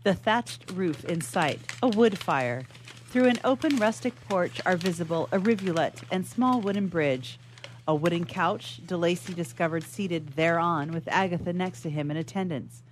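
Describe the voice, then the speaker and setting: A woman's voice: fairly low pitch, moderate pace, a little hiss or hum, low-quality recording. A woman reading stage directions as the narrator of a radio theatre melodrama, in a scripted dramatic style.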